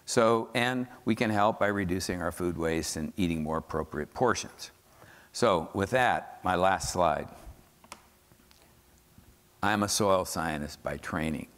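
A man's voice: low voice, unhurried, fairly bright tone. An older man with an American accent giving an informal, emphatic conference lecture as a scientist explaining to an audience. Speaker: keynote speaker, soil scientist. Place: large dark conference hall, on stage at podium. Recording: echoey hall, amplified stage speech.

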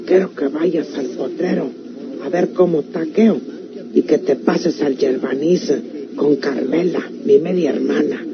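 A woman with a rural Mexican accent playing a plain-spoken, resolute country woman in a radio serial, earnest and rustic. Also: deep voice. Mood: resolute, hushed. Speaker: actress in a radio drama. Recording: muffled old radio recording, clear noise.

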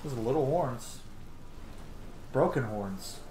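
A man's voice: medium pitch, animated, takes it slowly. A man in his thirties giving casual let's play commentary in a small room. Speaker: game streamer. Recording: roomy, noisy, game sound behind.

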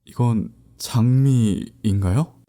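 A man's voice: mid-range voice, slow, lightly acted. A man playing a confident young law student who faces down thugs, then speaks politely. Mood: gentle. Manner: cool and assertive, then polite and gentle. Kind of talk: amateur audio drama acting.

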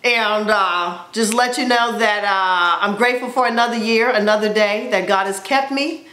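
A woman's voice: mid-range voice, moderate pace, pushed and bright, roomy sound. A woman in her forties chatting to camera at home as a vlogger, upbeat and playful, exclaiming often. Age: 40s. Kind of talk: casual chat to camera. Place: indoors at home, plain wall. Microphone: camera in front of her.

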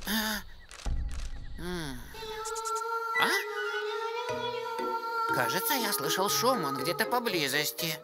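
An actor voicing a timid young animal in a dubbed children's cartoon, with an expressive, anxious delivery. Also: mid-range voice, slow, pauses often. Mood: uneasy. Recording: music behind the voice.

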